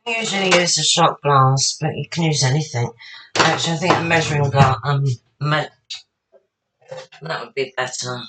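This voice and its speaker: A woman, slightly husky and tired, chatting casually to herself while making a salad at home. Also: low-pitched, normal speed, fairly bright tone, slight background noise, rough recording. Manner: chatty, rambling, talks to herself while working. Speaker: home cook. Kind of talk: casual cooking demonstration.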